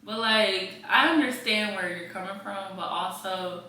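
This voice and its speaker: Young woman, bright voice, very echoey room. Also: medium pitch, measured pace. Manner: candid, repeats phrases for emphasis. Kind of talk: casual chat. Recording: roomy echo, distant mic, faint noise.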